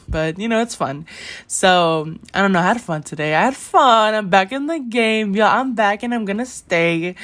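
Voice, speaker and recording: Giggly rambling teen girl, slightly low. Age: teenager. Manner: rambling, giggly, heavy use of "like" and "you know". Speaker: podcast host. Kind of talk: casual solo podcast chat.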